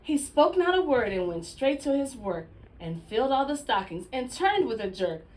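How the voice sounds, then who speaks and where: Animated woman reading a children's storybook. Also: high-pitched, normal speed, a little hiss or hum, poor audio. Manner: expressive, addresses young listeners directly.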